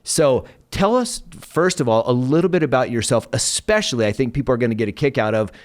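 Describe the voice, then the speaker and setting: A man's voice: mid-range voice, animated, quick pace. A man hosting a podcast interview, enthusiastically praising his guest and asking long, winding questions. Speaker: podcast host.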